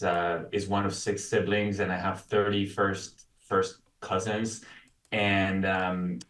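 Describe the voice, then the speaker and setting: A low-pitched man, recorded in a roomy space away from the microphone. He tells personal stories casually as an interview guest, often restarting his phrases. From Caracas, Venezuela. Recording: roomy echo, distant from mic.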